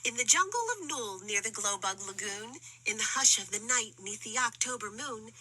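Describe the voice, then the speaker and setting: A woman narrating a rhyming children's picture book as an expressive storyteller. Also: a little high, normal speed, slightly rough.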